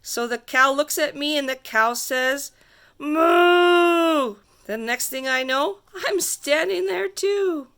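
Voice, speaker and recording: A woman reading a rhyming picture book aloud to children in a theatrical, sing-song way with drawn-out emphasis. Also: high voice, bright, forceful tone. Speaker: storybook reader.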